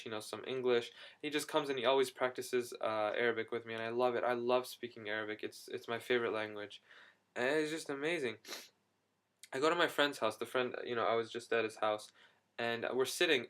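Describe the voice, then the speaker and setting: A young man in a casual video diary, talking excitedly and rambling with quick restarts. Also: medium pitch. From United States. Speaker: vlogger.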